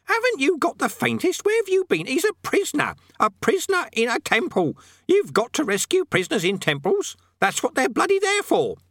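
A man voicing an irritable, bossy storybook parrot that scolds excitably in a croaky shriek. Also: very high voice, moderate pace, no echo, studio quality, voice pushed up, exaggerated voice. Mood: indignant, shrieking.

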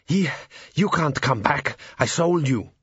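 A man voicing a cowardly middle-aged butcher boss who stammers and gibbers, slow and pitched higher. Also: animated, slightly rough, dry recording, lo-fi sound, exaggerated voice. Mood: fearful.

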